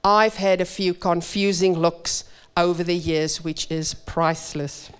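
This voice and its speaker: A woman preaching in church, her Afrikaans. She speaks very slowly and with emphasis, in a smooth, clear voice that sits a little low for a woman. Her delivery is earnest and measured. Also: flat delivery. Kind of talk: sermon.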